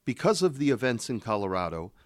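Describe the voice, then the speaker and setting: A smug, sexist male news reporter played by an actor in a radio parody. He is bombastic and condescending, with a very animated pitch and a dry, close sound. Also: quick pace, no room sound, natural voice. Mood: earnest reporting.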